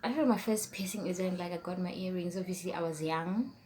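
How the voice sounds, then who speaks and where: A woman's voice: lowish voice, fast talker. Casting: young Setswana-speaking woman from South Africa, chatty YouTuber, faint hiss behind. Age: young adult. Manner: chatty, rambling, trails off into whispers while reading questions. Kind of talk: casual tag video chat.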